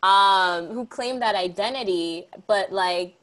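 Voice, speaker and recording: A woman's voice: mid-range voice, slow pace, fairly bright tone. A young woman hosts a casual interview. She asks long, winding questions and pads them with easygoing fillers. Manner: chatty, rambling questions with many fillers. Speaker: interviewer host.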